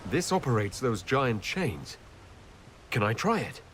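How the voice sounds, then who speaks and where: A man's voice: mid-range voice, very animated, unhurried, fairly bright tone, slight background noise. A man voicing a courteous elven leader in a fantasy video game, polite, earnest and composed. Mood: mildly amazed. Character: courteous young elven male leader of an Inquisition.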